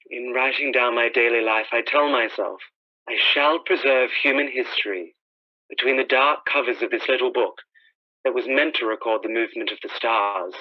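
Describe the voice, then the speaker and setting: A man's voice: medium pitch, moderate pace, natural voice. An actor playing a haunted, lonely professor who survived a disaster, narrating his journal as a brooding radio drama monologue. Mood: weary, wary. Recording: dull, lo-fi radio sound.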